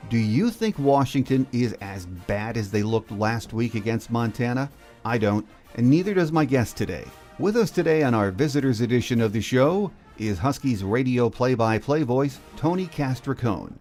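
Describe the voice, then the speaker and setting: A man's voice: medium pitch, a little mellow. An American man talking as the host of a sports podcast. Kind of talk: sports talk, scripted intro and interview.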